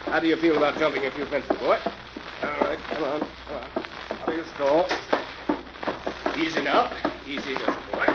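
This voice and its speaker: A man's voice: fairly high pitch, steady tone, moderate pace, long pauses, natural voice. An actor playing a confident, wealthy horseman in a 1940s-style radio mystery. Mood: brisk, commanding. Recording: muffled old radio recording, heavy crackle.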